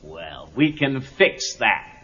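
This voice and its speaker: An actor playing a merciless, contemptuous karate sensei with an American accent, commanding and sneering in film dialogue. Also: male voice, lowish voice, sing-song, slow. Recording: dull lo-fi cassette tape.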